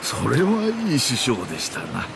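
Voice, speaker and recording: Casting: kindly, polite gentleman, lively quick speech, game dialogue under loud rain. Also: medium pitch, gravelly, background noise. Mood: kindly, appreciative. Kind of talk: Japanese visual novel voice acting.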